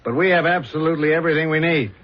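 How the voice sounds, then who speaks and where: An actor playing a calm, confident police detective in an old-fashioned radio drama, drily matter-of-fact and smoothly insistent. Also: male voice, fairly high pitch, very fast, lightly acted. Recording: dull old radio broadcast recording.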